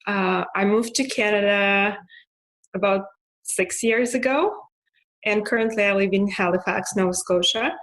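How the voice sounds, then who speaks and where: A young woman from Russia with a Russian accent, talking casually about her life, with frequent fillers, restarts and soft laughs. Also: mid-range voice, a little slow. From Russia (Moscow). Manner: hesitant, many fillers and restarts; laughs softly now and then. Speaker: interviewee. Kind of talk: casual personal monologue.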